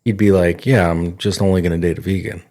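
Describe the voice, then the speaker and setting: A man's voice: low-pitched, fairly even intonation, fast, darkish tone. A man co-hosting a podcast, teasing and questioning the guest in casual chat. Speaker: co-host.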